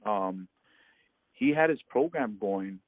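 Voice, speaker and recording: Low-voiced man on a phone line. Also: lively intonation. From Hawaii and American Samoa. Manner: halting, many fillers and restarts, laughs often. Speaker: interviewee, former ballplayer. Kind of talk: casual phone interview. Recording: tinny phone line with light noise.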